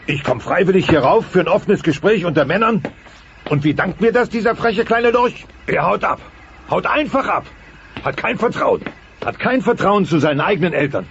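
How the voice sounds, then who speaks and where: A man's voice: high voice, animated, moderate pace, creaky, fairly bright tone, heavily acted. An actor playing a grumpy, indignant middle-aged dinosaur father, theatrical and bossy, in a dubbed comedy. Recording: dull cassette transfer with noise.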